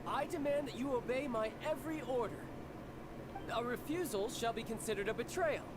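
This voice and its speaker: An actor playing a cocky young warrior officer in a video game, commanding and theatrical. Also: male voice, very high-pitched, a little fast, heavy background noise. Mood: stern, commanding. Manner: commanding, theatrical, smooth-talking.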